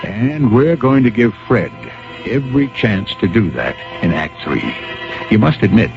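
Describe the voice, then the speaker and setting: Deep, gravelly male radio mystery host. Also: big pitch swings. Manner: theatrical, measured. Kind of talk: dramatic scripted radio mystery narration. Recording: dull old radio broadcast recording. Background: music.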